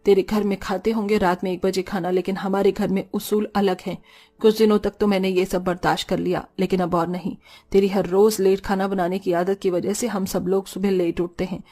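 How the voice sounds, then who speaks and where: A middle-aged mother-in-law from a cartoon family story, acted by a woman. She speaks at a medium pitch, caring and motherly but firm and ready to scold. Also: little pitch movement, brisk, dark tone, natural voice. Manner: reproachful, motherly. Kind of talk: cartoon family drama acting.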